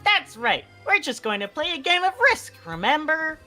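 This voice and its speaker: A woman's voice: sits high, sing-song, normal speed, bright, forceful tone, soft background music. A blunt, sweary woman in casual banter with friends while playing a game. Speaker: streamer voicing game lines with friends. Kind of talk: casual banter and read-aloud game dialogue.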